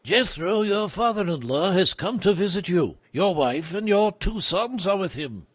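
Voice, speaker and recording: High-pitched male messenger for Bible drama, announcing, close dry sound. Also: expressive, measured pace, dry recording. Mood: dutiful announcing. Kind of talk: dramatized audio Bible acting.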